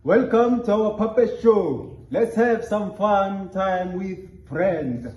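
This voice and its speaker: A cheerful puppet who is learning English acted by a man for a children's puppet show. The pitch is high and the delivery very slow, recorded in a dull-sounding, echoey space. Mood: cheerful, welcoming.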